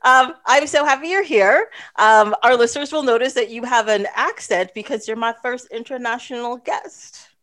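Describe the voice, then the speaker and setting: A woman's voice: a little high, normal speed, hardly any pauses, a bit bright. A woman in her thirties from Canada, hosting a podcast and chatting casually, laughing often and using lots of fillers. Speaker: podcast host. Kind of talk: casual chat.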